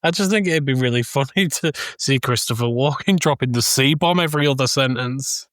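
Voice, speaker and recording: A man with a mid-range voice chats as a podcast co-host about films. He argues his points in halting steps, recorded dry and close. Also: medium pitch, a bit bright, dry recording. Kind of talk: casual film discussion.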